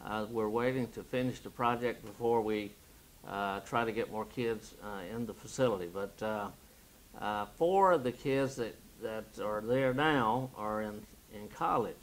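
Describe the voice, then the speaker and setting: Older male missionary, plain halting update, frequent pauses. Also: fairly low pitch, a little hiss or hum, poor audio. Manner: halting, many "uh" fillers. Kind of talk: project update. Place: studio set with wooden wall backdrop.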